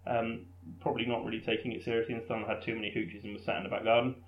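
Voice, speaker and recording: A man's voice: lowish voice, little pitch movement, very fast. A man in his thirties hosting a casual podcast from his front room, joking and teasing his friends. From England. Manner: jokey, teasing. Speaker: podcast host. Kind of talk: casual chat. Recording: roomy, dull lo-fi home recording.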